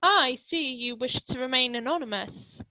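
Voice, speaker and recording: A woman's voice: high voice, moderate pace, sharp tone, slight background noise, low-quality recording. A woman playing a genteel, earnest lady collecting for charity in a radio play, polite and formal. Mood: affronted.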